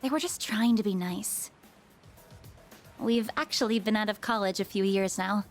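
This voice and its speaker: A woman's voice: mid-range voice, moderate pace, soft background music, close to an everyday voice. An actress voicing an easygoing, teasing young working woman in a video game, with a friendly, coaxing manner. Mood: playful, coaxing. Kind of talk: English video game voice acting.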